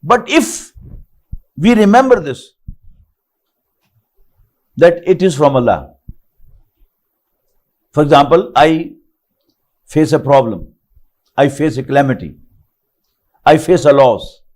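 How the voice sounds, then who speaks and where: Older Pakistani male Quran teacher, high lively voice, recites verses, then explains each phrase. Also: slow, pauses often. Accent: Pakistani English. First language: Urdu. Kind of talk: online lecture, reciting and translating verses.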